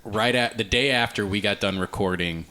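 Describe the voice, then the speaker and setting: A man's voice: on the low side, moderate pace, pushed and bright. A man hosting a casual panel podcast, chatting about media in a conversational way. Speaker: podcast host.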